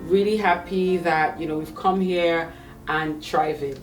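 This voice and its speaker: A Nigerian woman in her late thirties, a tech career coach, telling her story in a lively interview with fillers and restarts. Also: low voice, measured pace, recorded from a distance, faint music behind. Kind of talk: conversational interview. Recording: echoey room, away from the mic.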